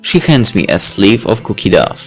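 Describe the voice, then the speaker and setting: A man's voice: fairly low pitch, very animated, moderate pace, a bit bright, faint music behind. A young man reading a visual novel aloud, adding wry, chatty asides. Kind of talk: reading aloud with off-the-cuff comments.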